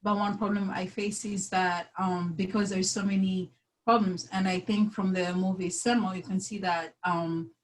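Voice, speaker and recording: A woman's voice: mid-range voice, flat delivery, normal speed, slight background noise, low-quality recording. Young Congolese-born woman, searching phrasing. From Democratic Republic of the Congo. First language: French. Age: early 20s. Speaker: panelist, university student. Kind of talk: personal account in an online panel discussion.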